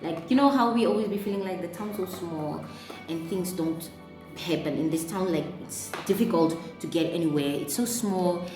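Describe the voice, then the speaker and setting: A young South African woman telling a storytime while doing her makeup, casual and animated, acting out dialogue in a raised voice. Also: on the low side, normal speed. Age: young adult. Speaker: beauty vlogger. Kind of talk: chatty get-ready-with-me storytime. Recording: echoey room with music behind.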